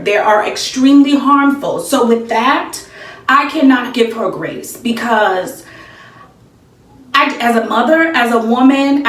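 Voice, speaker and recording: Woman, American accent, higher-pitched voice, emphatic opinionated vlog commentary, distant mic. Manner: emphatic, repeats phrases for effect. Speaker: YouTube commentator. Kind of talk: casual opinionated commentary to camera. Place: small indoor room with bare walls. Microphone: camera a few feet away. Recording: echoey room, distant mic.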